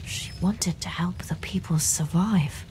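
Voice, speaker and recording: A man playing a weary, haunted wanderer in a dark fantasy game, speaking in a serious, halting, hushed way. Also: sits high, in a whisper. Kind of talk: dramatic video game cutscene acting.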